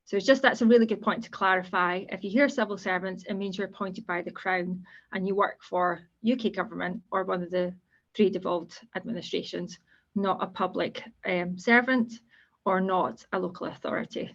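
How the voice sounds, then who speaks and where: A woman with a Scottish accent giving an online careers presentation as a guest speaker, explaining in a steady, informal way. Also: mid-range voice, moderate pace. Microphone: video call. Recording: dull lo-fi call audio.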